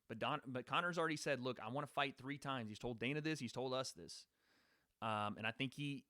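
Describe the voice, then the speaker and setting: A man's voice: mid-range voice, a bit bright, no room sound. Casual man talking sports on a dry mic. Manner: casual, rambling, talks to live chat. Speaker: podcast host. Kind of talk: live-stream sports predictions.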